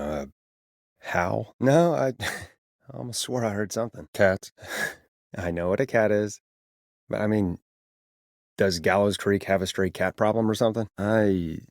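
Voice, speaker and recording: A man's voice: lowish voice, creaky, a little mellow, no room sound, studio quality. A man voicing a weary, sarcastic late-night radio call-in host in a video game, with a wry, conversational, easily exasperated manner. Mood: puzzled, disbelieving. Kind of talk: video game voice acting, radio patter and banter.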